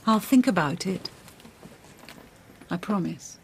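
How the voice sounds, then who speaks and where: Languid, theatrical former diva. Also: female voice, lowish voice, animated, takes it slowly, gravelly, slight background noise, exaggerated voice. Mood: resigned, defiant. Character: ailing, theatrical former opera singer, playful, stubborn woman. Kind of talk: British period radio drama acting.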